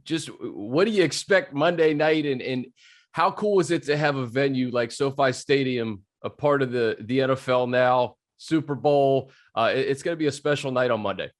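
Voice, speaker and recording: A man's voice: mid-range voice, moderate pace. A man hosting a sports podcast, asking the guest questions and closing the show with a friendly sign-off. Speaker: podcast host. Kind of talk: casual interview and show outro.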